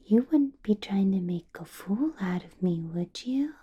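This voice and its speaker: A woman playing an ancient, possessive vampire boss in an ASMR roleplay, whispering softly with slow, deliberate, teasing menace. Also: mid-range voice, animated, lo-fi sound. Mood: quiet menace. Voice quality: soft breathy whisper. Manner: slow, deliberate, teasing questions with lingering pauses. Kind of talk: ASMR roleplay, personal attention.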